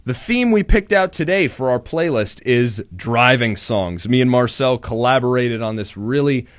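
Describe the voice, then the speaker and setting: A man's voice: mid-range voice, animated, normal speed, no room sound, lo-fi sound. A young man hosting his first college radio show, talking casually with nervous restarts and self-deprecating asides in a small studio. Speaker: radio host. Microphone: studio microphone.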